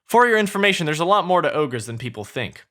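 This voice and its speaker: A man's voice: on the high side, very animated, quick pace, a bit bright, voice pushed up. A man voicing a blunt, grumpy, sarcastic ogre in a fairy-tale story, speaking emphatically. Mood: explaining, exasperated. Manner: exasperated, emphatic.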